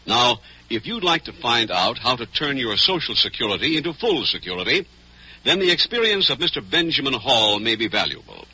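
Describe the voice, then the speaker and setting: A man's voice: medium pitch, sing-song, brisk, bright, forceful tone, husky. An American man announcing for a 1940s radio sponsor with emphatic, polished salesmanship. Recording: dull, band-limited vintage radio recording.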